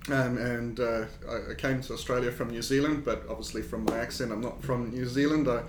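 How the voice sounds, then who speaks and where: A man's voice: steady tone, a little fast. A man with a South African accent, a consulting engineer talking as a podcast guest in a casual, hesitant way with many fillers and restarts. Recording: echoey room with faint rumble.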